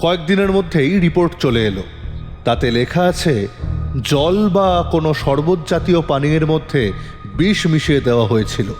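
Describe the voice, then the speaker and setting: A man playing a composed, probing police inspector who narrates his case in a dramatic, deliberate audio-drama style. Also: a little high, expressive, a little mellow, close to an everyday voice. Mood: suspenseful, measured. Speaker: narrator and lead actor in an audio story. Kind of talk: dramatic first-person detective story narration. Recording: long reverb, music underneath.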